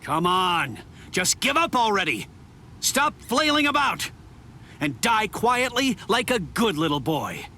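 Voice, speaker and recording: A man's voice: high-pitched, sing-song, takes it slowly, sharp tone, slight background noise, full character voice. An actor playing a brash, hot-tempered young swordsman in an anime game, talking in a blunt, cocky way. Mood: harsh, heated. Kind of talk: English anime game dub acting.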